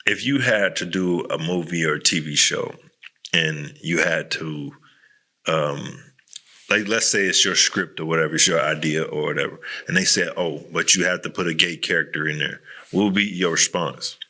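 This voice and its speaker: A man's voice: deep voice, normal speed, bright, forceful tone. A man hosting a podcast in a casual, candid chat, talking bluntly in slangy, profanity-laced language. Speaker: podcast host.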